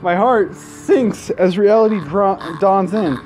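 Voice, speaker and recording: A man with a slightly high voice hosts a let's play, reading the text aloud dramatically. His delivery is slow, broken by crude, mocking, foul-mouthed asides, with game music faintly behind him. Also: darkish tone.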